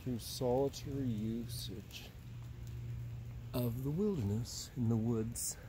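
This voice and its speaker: A man in his fifties talking slowly and musingly while doing yoga in a forest, slightly out of breath, recorded on a phone camera set on the ground. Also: medium pitch, background noise. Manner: slow, musing, drawn-out phrases with sighs and soft laughs. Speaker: yoga instructor. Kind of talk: meandering vlog talk while doing yoga. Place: outdoors in a forest.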